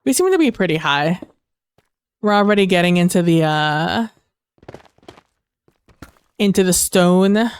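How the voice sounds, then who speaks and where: A woman's voice: fairly low pitch, animated, measured pace, long pauses, clear, resonant tone, no echo. Woman, gaming streamer, headset mic, casual rambling chat, losing her voice, dry close sound. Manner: chatty, rambling with fillers.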